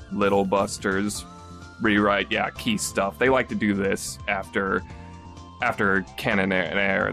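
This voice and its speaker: A man giving a casual spoken review on a podcast, rambling with fillers and restarts and jumping up in pitch on stressed words. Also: a bit bright.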